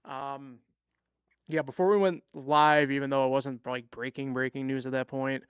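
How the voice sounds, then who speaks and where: A young man chats casually about sports with a friend, his voice smooth and resonant. He strings his thoughts together loosely with fillers and teasing. The sound is dry and close. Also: medium pitch, level tone, moderate pace, dry recording. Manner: chatty, lots of "like" and fillers, teasing. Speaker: podcast co-host.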